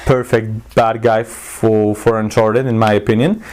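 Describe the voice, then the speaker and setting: A man's voice: on the low side, level tone, unhurried, a little mellow. A man giving a casual movie review to his viewers, talking informally and often cutting himself off as he rambles. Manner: rambling, self-interrupting, informal. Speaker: YouTube film reviewer and channel host.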